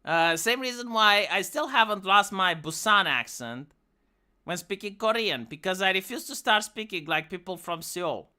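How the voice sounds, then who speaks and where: A woman's voice: mid-range voice, animated, moderate pace, bright, forceful tone. A woman streamer with a Romanian accent, English not her first language, ranting profanely with many restarts. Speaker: live streamer. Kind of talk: ranting live-stream commentary.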